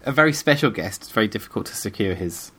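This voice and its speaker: A man's voice: lively intonation, fast, fairly bright tone, slightly rough. A young man with a cold, co-hosting a podcast with silly, joking banter. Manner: jokey, swoops into high squeals. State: sniffly with a cold. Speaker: podcast co-host.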